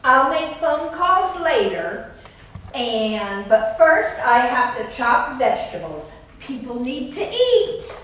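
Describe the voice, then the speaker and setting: In a very echoey room with faint noise, a woman acts a practical female kitchen volunteer. The voice is high and animated, as in a staged reading. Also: slow pace, distant mic, full character voice. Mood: practical, brisk. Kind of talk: readers theater acting.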